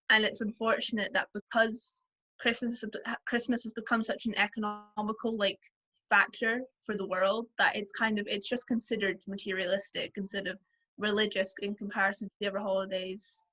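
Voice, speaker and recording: Bright-voiced young woman, posh Scottish-English accent. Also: medium pitch, highs cut off. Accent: mild posh English-Scottish mix. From Scotland, Aberdeenshire. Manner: chatty, frequent "like" and quick laughs. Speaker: guest. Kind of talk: casual conversation.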